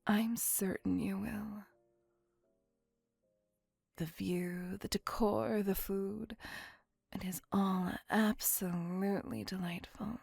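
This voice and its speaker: Breathy, slow-spoken elegant vampire woman, acted out by a woman. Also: medium pitch, steady tone, dry recording, soft background music, heavily acted. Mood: refined, reassuring. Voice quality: breathy, soft, half-whispered. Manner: formal, archaic, measured phrasing with tag questions. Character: elegant, courtly, possessive centuries-old female vampire on a date. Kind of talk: ASMR roleplay, soft intimate monologue.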